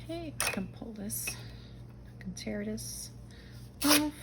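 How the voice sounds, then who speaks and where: A middle-aged woman from the Netherlands with a Dutch accent, chatting to herself and her viewers while making a journal page, sometimes dropping to a soft whisper. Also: on the high side, lively intonation, slow, heavy background noise. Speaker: junk journal crafter. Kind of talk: casual craft-along narration.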